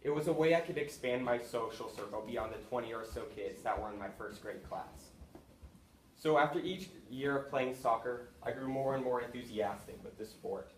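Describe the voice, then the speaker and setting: A man's voice: mid-range voice, normal speed. A young man, giving an earnest prepared speech to classmates in a school hall, with small restarts now and then. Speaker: student speaker. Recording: echoey room with faint noise.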